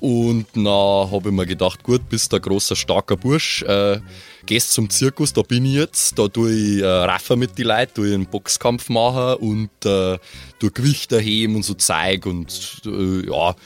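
A man's voice: lowish voice, a little slow, soft background music, natural voice. A man voicing a hearty middle-aged circus strongman and ex-soldier, plain-spoken and rambling, with a Bavarian accent. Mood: hearty, proud. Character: hearty 48-year-old male circus strongman, maimed ex-soldier.

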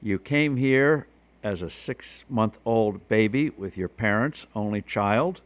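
A man hosting an interview show, giving political commentary and asking questions in a measured, conversational way. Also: fairly low pitch, lively intonation, dry recording. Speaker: host.

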